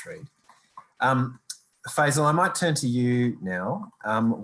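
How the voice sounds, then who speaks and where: Male panel moderator, low voice, measured question delivery. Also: fairly bright tone, rough recording. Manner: measured, reads long questions. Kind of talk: webinar moderation.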